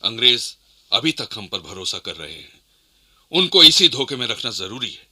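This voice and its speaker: A rebel commander in a historical radio drama. He speaks with deliberate authority in a bright, forceful, medium-pitched voice. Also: sing-song, heavily acted. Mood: measured, commanding. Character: Nana Sahib, commanding rebel leader and strategist. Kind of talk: Hindi historical radio play acting.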